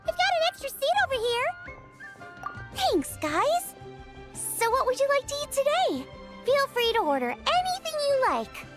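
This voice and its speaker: Cheerful young waitress-cook for a video game, extremely high and expressive, reassuring, music clearly underneath. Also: female voice, unhurried, exaggerated voice. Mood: upbeat, friendly. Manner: bubbly, chatty, reassuring. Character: cheerful, confident young girl chef and waitress. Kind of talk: English video game voice acting.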